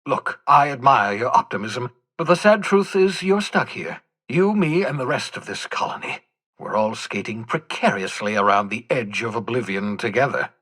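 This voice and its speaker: Jittery older mad scientist for a video game, husky voice, wordy and wry, pitch all over the place. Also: male voice, lively intonation, normal speed, fairly bright tone. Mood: breezy, candid. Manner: theatrical, hesitant asides, wordy and wry. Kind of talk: video game character dialogue.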